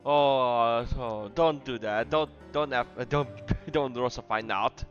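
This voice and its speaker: A man's voice: medium pitch, slow, lo-fi sound. A man giving casual gameplay commentary in short, muttered remarks. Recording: loud game music behind.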